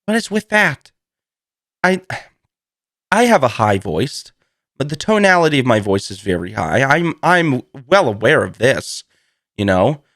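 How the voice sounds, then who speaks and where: A man hosting a solo podcast in a casual, rambling, self-deprecating way, slightly hoarse from a lingering cold. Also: mid-range voice, sing-song, measured pace, long pauses, a bit bright, no room sound, clean studio sound. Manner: rambling, self-deprecating, laughs through his words. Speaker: podcast host.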